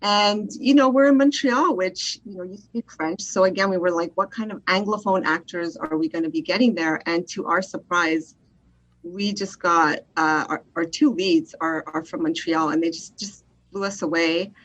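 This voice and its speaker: A woman's voice: lowish voice, normal speed, fairly bright tone, dull audio. A woman filmmaker giving casual, conversational answers as an interview guest.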